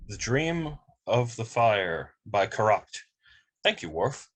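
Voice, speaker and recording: A man playing a polite, precise, emotionless android officer in a table read, speaking in formal, measured phrases. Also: on the low side, very animated, fairly bright tone, exaggerated voice. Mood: polite, matter-of-fact. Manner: formal, measured, literal wording. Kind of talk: amateur table read of a sci-fi script.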